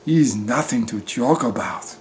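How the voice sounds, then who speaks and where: A man reading a storybook, voicing a blunt, mysterious female fortune teller with dramatic, drawn-out phrasing. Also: medium pitch, lively intonation, darkish tone, slight background noise, close to an everyday voice. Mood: ominous, dismissive.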